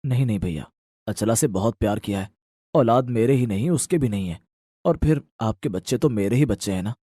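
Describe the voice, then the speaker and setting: A man voicing a gentle, loyal husband in a moral story, reassuring and resigned. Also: fairly low pitch, animated, fairly quick, no room sound, clean studio sound. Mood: gentle refusal. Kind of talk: dramatised Hindi moral story acting.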